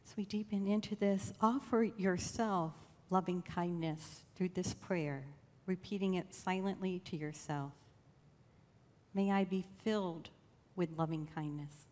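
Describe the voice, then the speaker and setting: A woman leading a guided meditation in a slow, gentle, soothing way with long pauses. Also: lowish voice, fairly even intonation. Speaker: church meditation leader. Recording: long reverb of a large room.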